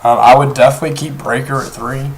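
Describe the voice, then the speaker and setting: A young man with a hoarse voice, talking casually through a card game deck, rambling with many pauses and restarts. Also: mid-range voice, level tone, a little hiss or hum. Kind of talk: casual deck profile talk. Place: indoors at a table, off camera.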